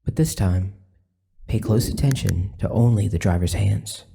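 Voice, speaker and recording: Gravelly low-voiced man, grave narrator. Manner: grave, dramatic, deliberate phrasing. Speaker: narrator of a disturbing-media documentary series. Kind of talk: scripted dark documentary narration. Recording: music behind the voice. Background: synthesizer music.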